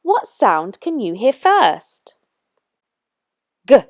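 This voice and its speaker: A woman reading aloud as a children's storytime narrator, with expressive, careful and deliberate phrasing. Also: fairly high pitch, dry recording, studio quality. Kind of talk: scripted storybook read-aloud for young children.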